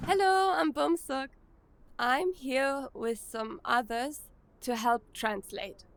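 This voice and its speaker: A woman's voice: high voice, slow, vocal fry, a bit bright, slight background noise, heavily acted. A woman voicing an earnest, emotional young woman from South Korea. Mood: polite. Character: eager young woman, Bumsuk.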